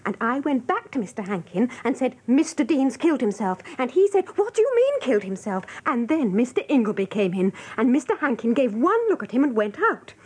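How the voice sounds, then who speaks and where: A gossipy English office typist in a radio drama. Her high voice rises and falls vividly as she gushes breathlessly and excitably. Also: brisk, few pauses, slightly rough. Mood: excited, shocked. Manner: breathless, gushing storytelling. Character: chatty, excitable female office typist who loves gossip.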